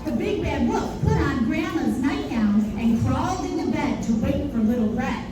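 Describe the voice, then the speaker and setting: A woman's voice: high-pitched, lively intonation, normal speed. A woman narrating a live children's storybook puppet show. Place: indoor live puppet performance. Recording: very echoey, noisy room, distant mic.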